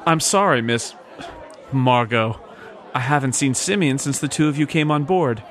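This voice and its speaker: Man playing suave, flirtatious society gentleman. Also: mid-range voice, normal speed, a faint crowd, natural voice. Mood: teasing, charming. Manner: genteel, teasing. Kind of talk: 1940s radio serial acting.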